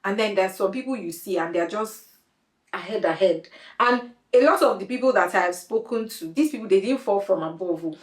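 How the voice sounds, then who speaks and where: A woman hosting a podcast interview, chatty and quick to laugh, using slang. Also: medium pitch, reverberant. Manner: chatty, laughs often, uses Nigerian slang. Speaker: podcast host. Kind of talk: casual interview chat.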